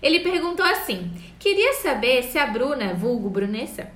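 A woman's voice: high voice, moderate pace. A woman, hosting a casual podcast chat with friends and reading out listener questions. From Florianópolis, Brazil. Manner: lively, reads listener questions. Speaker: podcast host. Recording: echoey room.

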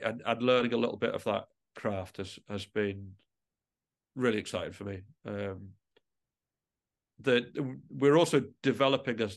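A man talks as a guest in a relaxed podcast conversation. His delivery is reflective and a little hesitant, circling back to restart phrases as he shapes each thought. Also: medium pitch. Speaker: interview guest, musician and composer.

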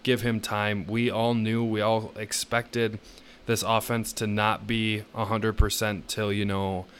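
Low-voiced male sports podcaster, noisy background. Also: level tone, measured pace. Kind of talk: casual sports analysis chat.

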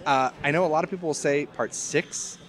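A man's voice: fairly high pitch, a little fast. A man chatting casually about horror films as a podcast guest, emphatic, slangy and joking.

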